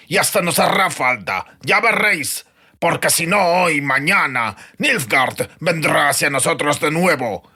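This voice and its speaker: A man voicing a gruff, belligerent male dwarf in an audiobook, roaring and mocking. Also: on the high side, bright, forceful tone, full character voice. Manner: roaring, indignant.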